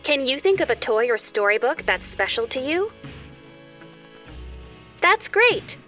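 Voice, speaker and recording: Woman playing a gentle, higher-voiced cartoon guardian angel. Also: very animated, unhurried, heavily acted. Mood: kindly, encouraging. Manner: soothing, instructive, addresses the young viewer directly. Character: gentle nurturing female angel host of a children's cartoon. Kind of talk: children's educational show dialogue. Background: background music.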